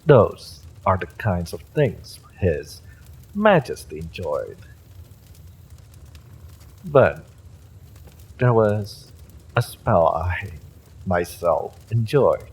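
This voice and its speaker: An actor playing an evil male sorcerer, smugly polite and sadistic, in a slow, theatrical audio drama full of menace. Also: low-pitched, sing-song, breathy edge, heavily acted. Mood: sadistic relish. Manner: slow, deliberate, theatrical threatening delivery. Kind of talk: dramatic audio roleplay monologue.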